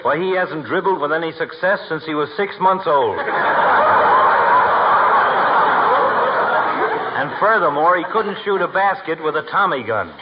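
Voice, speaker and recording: An actor playing a pompous, sardonic doctor in a 1940s radio comedy, needling others in ornate, learned words. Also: male voice, on the high side, lively intonation, a little fast, close to an everyday voice. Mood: scornful. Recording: muffled, faintly noisy 1940s radio recording.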